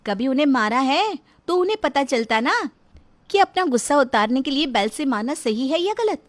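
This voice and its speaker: A woman's voice: high-pitched, expressive, moderate pace, voice pushed up. A woman voicing a bold, outspoken young daughter-in-law who argues indignantly in a moral story. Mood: challenging. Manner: indignant, argumentative. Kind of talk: acted dialogue in a moral story.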